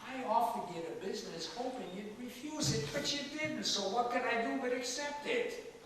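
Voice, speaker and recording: A man's voice: extremely high pitch, normal speed, fairly bright tone. An actor playing a feisty, stubborn ninety-seven-year-old father who argues bluntly and emphatically in a stage play. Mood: exasperated, reproachful. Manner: emphatic, argumentative, repeats words for force. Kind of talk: one-act stage play dialogue. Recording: echoey hall from far off, faint noise.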